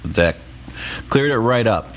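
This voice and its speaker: A man hosting a podcast in casual chat, relaxed and rambling, swearing now and then. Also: lowish voice, takes it slowly. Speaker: podcast host.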